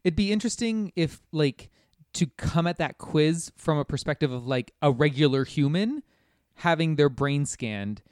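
A man's voice: a little high, animated, normal speed, darkish tone, dry recording, clean studio sound. A man chatting informally and musing about a video game as a podcast guest. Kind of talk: casual chat, discussing a video game.

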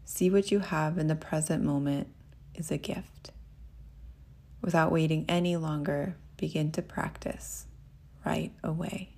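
A woman meditation teacher leading a guided meditation in a gentle, soothing, unhurried way. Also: low-pitched, steady tone, vocal fry.

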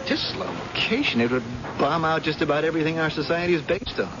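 A man's voice: on the high side, a little fast, vocal fry. An actor playing an alarmed, skeptical man in an old-time radio drama. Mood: awed, nervous. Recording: dull, noisy old radio recording.

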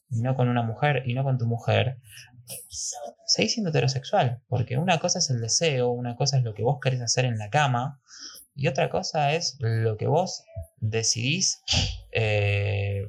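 A man's voice: medium pitch, gravelly. A man with an Argentine accent, a podcast guest explaining his opinions conversationally and emphatically.

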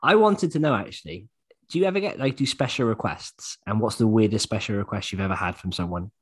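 A man's voice: on the low side, animated, quick pace, slightly rough. A man co-hosting a podcast, easygoing and wry as he questions a guest. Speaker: podcast co-host. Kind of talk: casual podcast chat.

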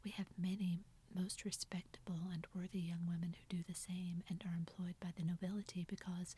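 A condescending English young lady speaks in a hushed, breathy whisper, as a woman reads her part aloud. Her tone stays level and low, patronizing without ever rising. This is a storybook reading done in soft ASMR style. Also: flat delivery, fairly quick.

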